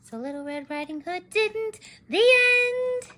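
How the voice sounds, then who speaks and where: A woman's voice: very high voice, lively intonation, slow, sharp tone. A woman in her thirties narrating a children's storybook expressively, as a teacher reading aloud.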